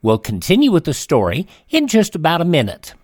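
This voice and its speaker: A man's voice: a little high, huge pitch swings, a little fast, dry recording, clean studio sound. A man hosting a radio program, announcing from a script.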